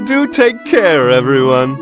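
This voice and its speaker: A man's voice: sits high, exaggerated voice. A man playing a refined, teasing celestial attendant in a video game, polite, lilting and genteel. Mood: amused. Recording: loud game music underneath.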